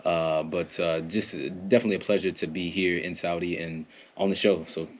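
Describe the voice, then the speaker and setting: A man's voice: low-pitched, fairly even intonation, brisk. An American man in a casual podcast interview, a performance coach talking in a rambling way, with lots of fillers, restarts and laughs. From United States.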